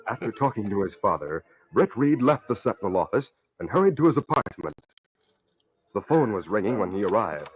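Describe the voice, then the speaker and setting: A man's voice: lowish voice, very animated, fairly quick. A man narrating a scripted story as an announcer in 1940s radio style. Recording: tinny, faintly noisy old radio recording.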